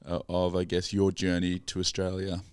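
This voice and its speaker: A man's voice: deep voice, completely flat delivery, normal speed, a little mellow, clear, resonant tone, no echo, studio quality. A man hosting a podcast, asking questions in an easygoing, slightly hesitant way. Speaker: podcast host. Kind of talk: casual interview chat.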